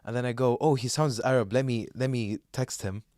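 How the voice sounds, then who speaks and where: A young man from Saudi Arabia with a medium-pitched voice chats as a podcast co-host. He tells personal stories casually, rambling and hesitating as he goes. The sound is dry, with hardly any room on it. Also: fairly even intonation, a little fast, darkish tone, no room sound. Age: young adult. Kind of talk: casual chat, telling personal anecdotes.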